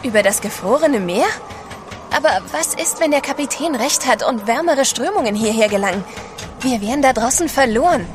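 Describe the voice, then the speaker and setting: A woman plays a refined, anxious wife in a radio play. Her voice is fairly high and earnest, with music behind it. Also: animated, moderate pace, lightly acted. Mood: worried. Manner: concerned, earnest. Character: refined, worried wife of an adventurer. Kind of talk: German audio drama acting.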